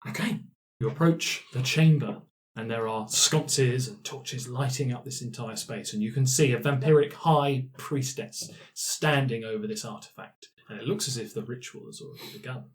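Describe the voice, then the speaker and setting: A man's voice: mid-range voice, normal speed. Male game master with a mid-range voice. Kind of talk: narrating a tabletop roleplaying game.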